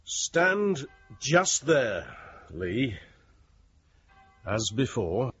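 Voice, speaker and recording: A nervous hangman speaks slowly with very lively intonation. He is deferential and defensive in radio drama style, recorded slightly dull. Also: mid-range voice, faint music behind, heavily acted. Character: nervous, deferential hangman, flustered by failing gallows.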